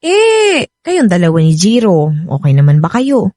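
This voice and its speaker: Chatty protective cousin, filipino accent, voice swoops around a lot, close dry radio drama sound. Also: female voice, fairly low pitch, unhurried, dry recording. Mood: friendly, chatty. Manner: animated, frank, chatty. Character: partner's chatty female cousin, frank, protective, friendly.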